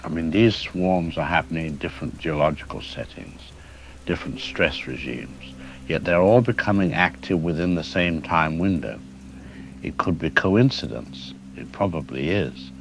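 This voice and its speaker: A man playing a deep-voiced worried seismologist. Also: expressive, moderate pace, creaky, slight background noise, exaggerated voice, poor audio. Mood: measured. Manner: careful, explanatory, hedging. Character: calm middle-aged male seismologist, measured and worried. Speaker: expert guest. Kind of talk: audio drama news interview.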